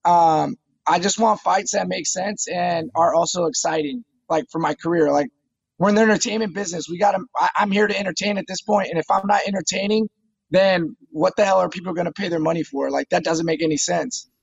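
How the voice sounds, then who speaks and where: A man's voice: high voice, fairly even intonation, brisk, vocal fry, slightly rough, no room sound, dull audio. A man in his thirties, a fighter talking casually in an interview, eager and often repeating words as he starts a phrase. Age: 30s. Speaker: MMA fighter guest.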